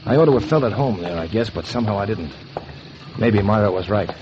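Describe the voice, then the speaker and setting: Casting: man to play broke, hopeful painter in radio noir, very low voice, wry and weary, on a noisy old recording. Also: expressive, brisk, dull audio, natural voice. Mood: brooding. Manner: wry, hard-boiled storytelling. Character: down-on-his-luck male painter, world-weary, hopeful, noir narrator. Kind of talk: 1940s radio noir acting and narration.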